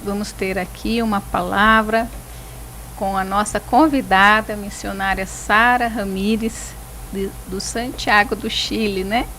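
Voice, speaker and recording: Woman, talk show host, mid-pitched voice, reflective, lots of "né", clear traffic noise behind. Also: steady tone, bright, forceful tone, rough recording. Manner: reflective, filling pauses with "né".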